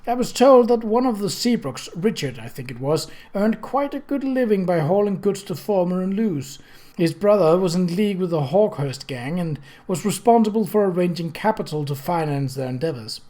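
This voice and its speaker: A man voicing a brisk, tart, self-possessed older aunt in an audiobook. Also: sits high, expressive, warm tone. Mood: firm. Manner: firm, tart. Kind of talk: audiobook character voice.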